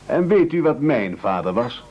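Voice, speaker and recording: A scheming leader of starving castaways in a radio play. His husky voice swings widely in pitch as he speaks with mock courtesy and pompous, rhetorical flourish. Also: sing-song, moderate pace, muffled recording. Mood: pompous, accusing.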